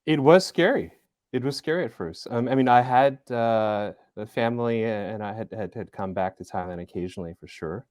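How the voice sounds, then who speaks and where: A man in his forties, a guest in an interview, speaking thoughtfully and hesitantly with frequent fillers and soft laughs. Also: lowish voice, a little fast, no echo, studio quality. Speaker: interview guest, business school center director. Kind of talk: casual interview talk.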